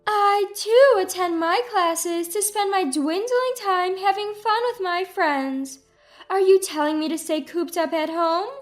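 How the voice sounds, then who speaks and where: Woman putting on a shrill, scolding older mother-in-law. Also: a little slow, faint music behind. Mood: indignant. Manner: accusing, indignant, contemptuous. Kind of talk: dramatized narrated family story.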